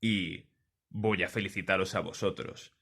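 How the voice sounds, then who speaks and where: A man giving a mock-solemn scripted holiday greeting in a deliberate, pompous manner. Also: low-pitched, steady tone, bright, forceful tone, slightly rough.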